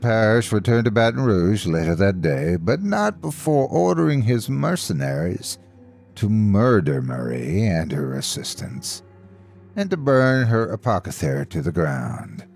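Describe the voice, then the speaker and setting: A man narrating a horror story in a theatrical, deliberate, measured way, with a gravelly voice. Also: lowish voice, darkish tone. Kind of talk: dramatic scripted horror storytelling. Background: faint background music.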